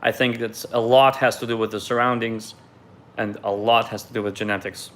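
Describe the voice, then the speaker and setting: A man's voice: lowish voice, level tone, brisk, a bit bright, slight background noise. A man from Ukraine, raised in Israel, reads viewer questions aloud on a live stream and answers them in a loose, candid way.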